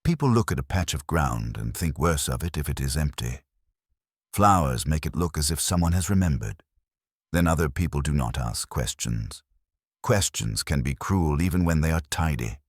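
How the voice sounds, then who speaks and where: A man's voice: rumbling low, animated, normal speed, husky, no room sound, clean studio sound, exaggerated voice. A man voicing a prim, guarded small-town woman in an audiobook mystery, with clipped, proper and tartly reserved speech. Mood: prim, defensive.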